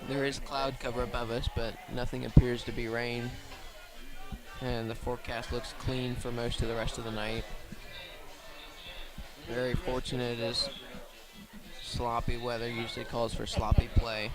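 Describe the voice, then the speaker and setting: Male sports commentator, level and unexcitable pitch, calling a live football game on radio from the field. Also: little pitch movement, normal speed, long pauses, over loud music. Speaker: play-by-play commentator. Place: outdoors at a high school football field.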